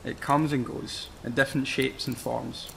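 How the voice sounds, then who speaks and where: A man playing a solemn, mournful figure in a radio play, speaking gravely and poetically with a gravelly, creaky voice. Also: medium pitch, fairly even intonation, moderate pace, a bit bright, a little hiss or hum. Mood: grave, mystical. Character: solemn, mournful man recalling a dying ancient land.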